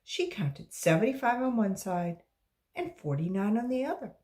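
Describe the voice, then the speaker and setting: A woman's voice: medium pitch, big pitch swings, moderate pace, a little mellow. A woman reading a children's story aloud as a volunteer reader for young listeners.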